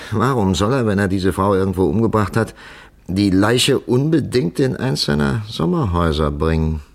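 An actor playing a shrewd, methodical police commissioner in a 1950s German radio crime drama, musing aloud with deliberate, patient superiority. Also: male voice, fairly low pitch, lively intonation, gravelly. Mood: musing, matter-of-fact.

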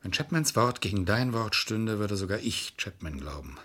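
A man's voice: lowish voice, animated, moderate pace, fairly bright tone, husky. An actor playing a stern, sceptical defence lawyer in a seventies radio crime drama, sardonic and reproachful as he questions. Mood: sober, weighing. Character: stern, sceptical male defence lawyer grilling his client. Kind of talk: 1970s German radio crime drama acting.

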